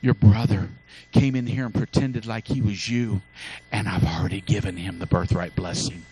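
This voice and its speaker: A preacher doing old father Isaac, slow and solemn. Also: fairly low pitch, fairly even intonation, warm tone, a little hiss or hum, pitched below the performer's own voice, natural voice. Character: old blind father Isaac, frail and grieving.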